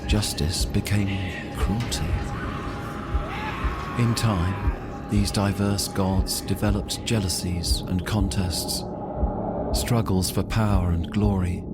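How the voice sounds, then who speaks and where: Male narrator, low and unhurried, solemn fantasy prologue read over a music bed. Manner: slow, solemn mythic storytelling.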